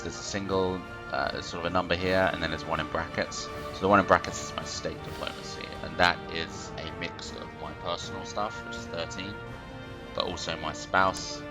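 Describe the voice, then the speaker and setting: A man hosting a casual gameplay tutorial, explaining loosely with lots of fillers at a desk microphone. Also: sits low. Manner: rambling, filler-heavy explaining. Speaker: let's play host.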